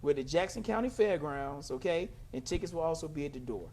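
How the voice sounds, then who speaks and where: A man speaking as a guest promoter, giving an emphatic, salesman-like pitch. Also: expressive, a little fast, a loud crowd. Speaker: guest, manager and play promoter.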